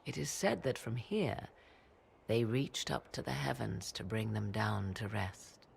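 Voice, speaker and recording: A mysterious, proud sorceress in a fantasy game. The voice has a gravelly fry and moves through archaic, theatrical phrases at a measured gait. A faint noise sits behind it. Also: female voice, extremely low pitch, full character voice. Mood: solemn, mysterious. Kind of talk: dramatic video game acting.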